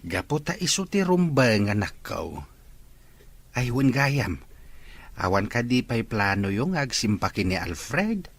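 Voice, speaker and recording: A man playing a gentle, grateful, ailing father who longs for grandchildren in a radio-style drama. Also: mid-range voice, very animated, slow pace, husky, no echo, full character voice.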